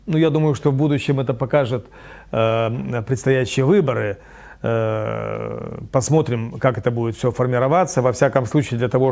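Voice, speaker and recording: A man speaking as a studio guest on a television discussion, measured and full of hesitation fillers. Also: medium pitch, a little mellow.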